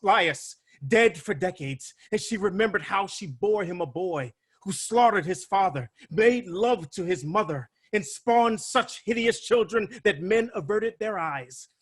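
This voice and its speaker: Man as grim messenger, grave, theatrical tragedy reading, husky, fairly high voice, video call. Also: fairly bright tone, heavily acted. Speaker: actor in a dramatic reading. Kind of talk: staged reading of a Greek tragedy.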